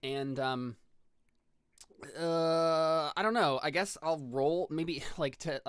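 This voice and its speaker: A man's voice: fairly high pitch, slow pace, clean tone, no echo. Hesitant, dry-sounding man player. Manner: hesitant, giggly. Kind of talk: casual tabletop play.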